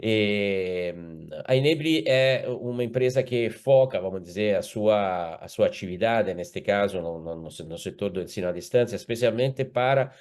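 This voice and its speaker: A man's voice: lowish voice, moderate pace, darkish tone. A man with an Italian accent, a company executive presenting his firm formally in a webinar, with some hesitant fillers, speaking over a video call. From Italy.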